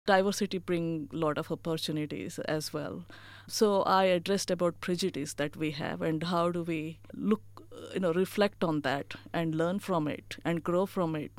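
An earnest woman from India talks with a noticeable Indian accent. Her voice is smooth and clear. It is recorded close and dry, and she often pauses on "you know". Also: fairly low pitch, dry recording. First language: not English. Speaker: chief executive officer. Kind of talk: interview answers.

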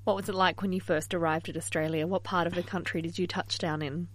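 A woman's voice: fairly low pitch, steady tone, fast, no room sound. Woman host, friendly and curious questioner; dry, close sound. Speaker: interviewer. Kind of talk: interview questions.

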